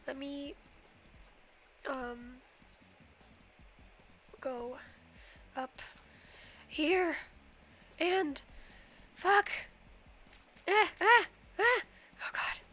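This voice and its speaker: A woman's voice: high voice, fairly bright tone. A young woman streaming a game from her bedroom, rambling casually and humming silly nonsense sounds, a little sniffly from a cold. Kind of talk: casual livestream commentary while playing.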